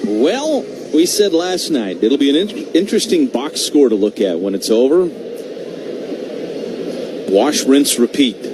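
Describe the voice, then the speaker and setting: Male baseball radio announcer, medium pitch, conversational and dry-witted, with clear noise behind the call. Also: very animated, unhurried. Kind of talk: live radio play-by-play commentary. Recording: noisy stadium broadcast feed.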